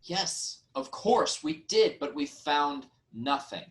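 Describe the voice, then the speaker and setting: A man playing a wronged, reasoning nobleman in a formal, measured reading of ancient Greek tragedy. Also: fairly high pitch, animated. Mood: reasoning, defensive. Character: wronged, reasoning nobleman, brother-in-law of the king.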